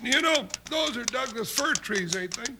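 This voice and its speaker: High-voiced folksy cowboy deputy sidekick. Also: male voice, lively intonation, normal speed, gravelly, exaggerated voice. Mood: folksy, uneasy. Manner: drawling rustic cowboy talk. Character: big folksy male deputy sidekick, good-natured, puzzled. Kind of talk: 1950s Western radio drama acting. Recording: noisy old radio recording.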